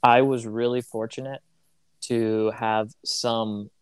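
A young man hosts a casual podcast, talking in a rambling way and acting out little conversations. His pitch sits in the middle, and the close mic sounds dry with no room. He grew up in Tanzania. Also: flat delivery, takes it slowly, clear, resonant tone, no room sound. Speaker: podcast host.

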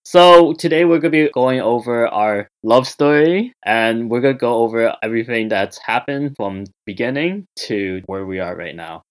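A man's voice: medium pitch, animated, moderate pace, hardly any pauses. A man in his twenties with a Canadian accent, hosting a podcast in a rambling, easygoing way full of filler words. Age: 20s. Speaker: podcast host. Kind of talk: casual chat.

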